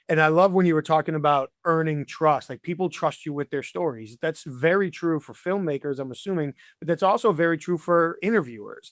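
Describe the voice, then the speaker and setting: Higher-voiced affirming male podcast host. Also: fairly bright tone, no echo, clean studio sound. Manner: enthusiastic, affirming, stammers at starts. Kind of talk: conversational podcast interview.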